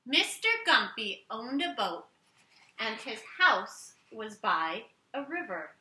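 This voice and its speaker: A woman's voice: a little high, big pitch swings, takes it slowly, pushed and bright. A woman in her thirties reading a children's story aloud expressively, giving play-along instructions to young listeners. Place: living room, indoors. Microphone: camera a few feet away. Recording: roomy living room echo.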